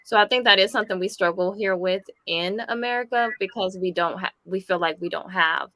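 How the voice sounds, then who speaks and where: A woman panelist speaking calmly and in a measured way in a live discussion. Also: medium pitch.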